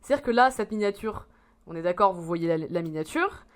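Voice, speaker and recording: A woman's voice: lowish voice, animated, a little fast. A woman in her twenties, rambling and swearing as she reacts to a video in casual slang. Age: 20s.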